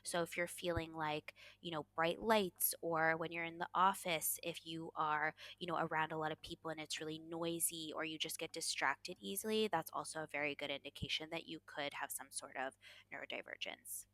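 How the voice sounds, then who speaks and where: Confident woman with a bright voice. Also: low voice, fairly even intonation, moderate pace, no room sound. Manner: confident, self-assured, talks in long flowing runs with "you know". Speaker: coach, podcast guest. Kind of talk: conversational interview answers.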